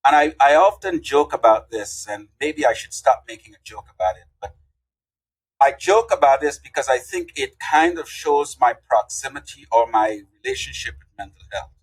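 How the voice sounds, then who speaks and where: Husky man telling stories. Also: medium pitch, normal speed, rough recording. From Guyana. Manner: animated, folksy storyteller. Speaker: guest. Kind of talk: casual interview storytelling.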